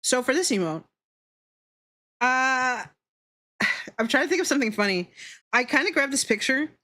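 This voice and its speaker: High-voiced woman streamer, playful and chatty. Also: lively intonation, moderate pace, sharp tone. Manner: playful teasing, giggly, swears freely. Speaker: streamer, VTuber. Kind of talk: casual livestream chat with viewers while drawing.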